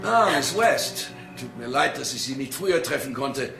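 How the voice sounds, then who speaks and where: A courteous, reserved gentleman in a crime radio play. His formal speech rings in an echoey space with faint music behind. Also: medium pitch, expressive, normal speed, gravelly. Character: courteous, reserved orchid-loving uncle. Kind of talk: German crime radio drama acting.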